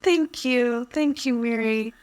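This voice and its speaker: A woman's voice: fairly high pitch, takes it slowly, a little mellow, clear, resonant tone. A middle-aged woman from Hawaii, a podcast guest telling her personal story conversationally in an earnest, reflective way. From Hawaii, United States. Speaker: podcast guest, fashion influencer.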